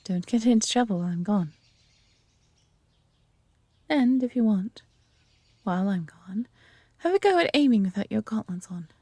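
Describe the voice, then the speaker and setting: A woman's voice: medium pitch, animated, normal speed, pauses often, warm tone, breathy edge, dry recording. A woman with an English accent playing a friendly, adventurous elf archer in a roleplay audio drama, talking conversationally to the listener. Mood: friendly, chatty.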